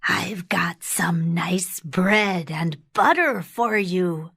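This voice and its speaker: Woman voicing a slow, sly storybook wolf. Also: fairly low pitch, fairly bright tone, slightly rough, no echo, studio quality, exaggerated voice. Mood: coaxing. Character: sly hungry male big bad wolf.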